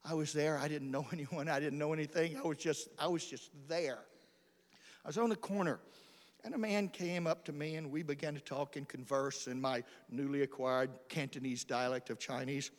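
High-voiced male preacher in a reverberant hall. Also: fairly even intonation, normal speed. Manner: emphatic, dramatic, repeats phrases for effect. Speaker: missionary preacher. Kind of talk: storytelling sermon. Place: large church or hall. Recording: hall reverb from a large room.

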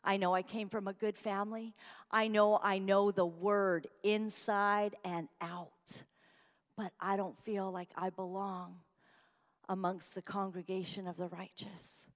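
A woman's voice: medium pitch, flat delivery, unhurried, long reverb tail. A middle-aged woman, raised in Uganda, telling her life story as a guest speaker in a church, with humorous chatty asides. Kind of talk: personal testimony sermon. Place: large church.

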